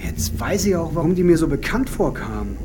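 High-voiced, wary security officer. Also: male voice, very animated, moderate pace, background noise. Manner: blunt, wary, offhand replies. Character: sceptical, defensive male security officer. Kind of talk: radio drama acting.

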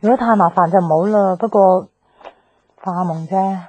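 A woman's voice: medium pitch, moderate pace, darkish tone, close to an everyday voice, poor audio. An actress playing an earnest, plucky young woman in radio drama dialogue, conversational, with a Hong Kong Cantonese accent. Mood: earnest, hopeful.

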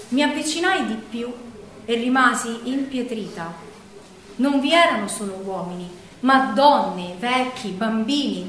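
A woman's voice: on the high side, a bit bright. An actress playing a Roman mother who recounts wartime memories in an earnest, dramatic stage monologue. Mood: tense, shaken. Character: Roman mother recalling saving a neighbour's child in wartime. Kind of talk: theatrical first-person monologue, storytelling. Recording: echoey room, distant mic, noisy.

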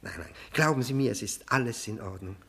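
A fawning, gravelly-voiced widower. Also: male voice, medium pitch, expressive, brisk, fairly bright tone, heavily acted. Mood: eager, insistent. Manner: hesitant, obsequious, stammering repetitions. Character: fawning, self-abasing widower pleading to remarry. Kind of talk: 1960s German radio play acting.

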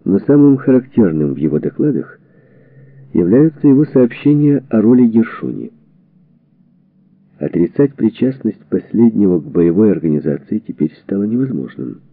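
Phone-band, deep-voiced male audiobook narrator. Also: sing-song, moderate pace, no room sound. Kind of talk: scripted narration of a historical book. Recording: narrow phone-like band, radio-like sound.

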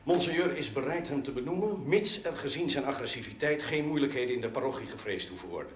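Parish priest, male actor: teasing authority, animated pitch, naturalistic TV drama, roomy sound. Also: quick pace, natural voice. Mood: businesslike. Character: parish priest, bantering but authoritative senior clergyman. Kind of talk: naturalistic television drama dialogue. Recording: roomy, noisy old television soundtrack.

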